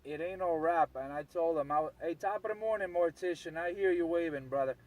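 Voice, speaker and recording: A man's voice: a little high, fairly quick, heavy background noise. An insistent man, ranting casually and repetitively as a CB radio operator.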